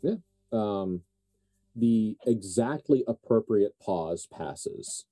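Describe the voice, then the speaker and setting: Male game master, very mellow and dark tone, casual storytelling over background music. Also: fairly low pitch, animated, extremely slow, dry recording. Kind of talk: narrating a live tabletop roleplay game.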